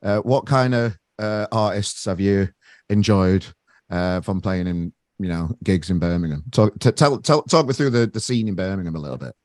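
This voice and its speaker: A man's voice: low-pitched, moderate pace, darkish tone, no echo, studio quality. A man from Sheffield with a northern English accent, interviewing a band in casual chat and often restarting his sentences. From Sheffield, England. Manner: chatty, restarts sentences, says mate. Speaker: interviewer and gig promoter.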